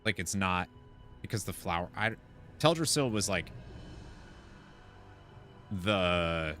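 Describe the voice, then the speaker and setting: A man's voice: deep voice, lively intonation, takes it slowly, pushed and bright. A man doing casual game commentary, thinking aloud and reading quest text out. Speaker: gaming content creator. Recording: faint game music behind. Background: game music and sounds.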